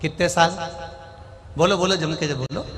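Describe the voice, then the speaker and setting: An Indian man preaches to a big crowd in a fairly high voice that swells and drops, and he keeps pressing the listeners to answer him. The sound rings with long reverb from the hall. Devotional music plays clearly underneath. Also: moderate pace, a bit bright. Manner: rises and falls, urges the crowd to answer back. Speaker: preacher telling a Shiva scripture story. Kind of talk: devotional sermon to a large crowd. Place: large venue with a crowd and speakers.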